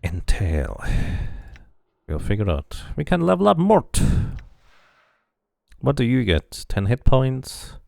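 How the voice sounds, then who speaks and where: A male streamer gives casual game commentary, musing as he plays. He speaks slowly with a lively, swinging pitch and plenty of creak. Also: no room sound, studio quality. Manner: musing, thinking aloud.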